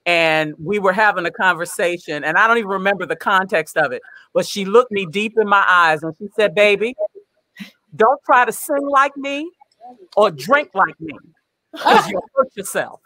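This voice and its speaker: A woman with a bright voice tells a lively story over a video call. Her sound is close and dry. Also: medium pitch, moderate pace, dry recording, poor audio. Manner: lively anecdote teller. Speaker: guest performer. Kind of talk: casual video call chat.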